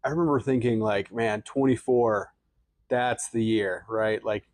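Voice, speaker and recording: A man's voice: lowish voice, unhurried, a little mellow, no echo. Joking man in his thirties, dry studio. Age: 30s. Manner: joking, teasing, laughs often. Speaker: podcast co-host. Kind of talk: casual chat.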